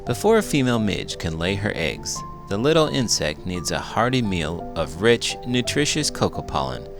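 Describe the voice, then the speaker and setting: A man reading a children's book aloud as a teacher would, expressive and full of big emotion. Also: on the low side, moderate pace, over music. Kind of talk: animated children's read-aloud narration.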